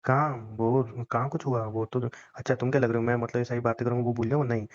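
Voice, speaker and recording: A man's voice: mid-range voice, little pitch movement, quick pace. Young man teasing on a dull phone line. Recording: dull lo-fi phone call recording.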